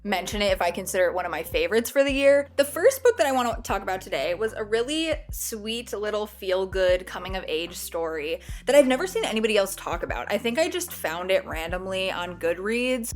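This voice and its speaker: A woman's voice: mid-range voice, expressive, brisk, few pauses. A woman with a slightly stuffy, cold-recovering voice, talking casually as a book review vlogger in a chatty, self-deprecating way.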